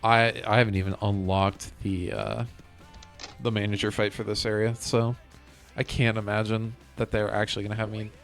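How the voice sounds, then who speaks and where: A man streaming a video game, chatting casually and rambling as he thinks out loud. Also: lowish voice, little pitch movement, normal speed. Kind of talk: casual live gameplay commentary. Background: game music.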